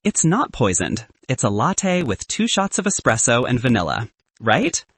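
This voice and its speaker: A man's voice: medium pitch, huge pitch swings, normal speed, fairly bright tone, husky, no room sound, full character voice. A man reading the part of a cynical, self-deprecating best man in an audiobook romance, wry yet earnest and confessional. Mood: apologetic, confessional. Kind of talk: audiobook character dialogue.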